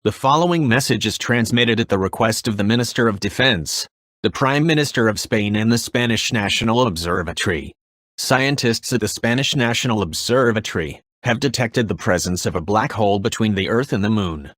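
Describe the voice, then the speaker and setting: A man with a fairly low voice reads an emergency broadcast. The sound is very dry, and his scripted delivery is formal and impersonal. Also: animated, normal speed, a bit bright, no echo. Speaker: emergency alert announcer.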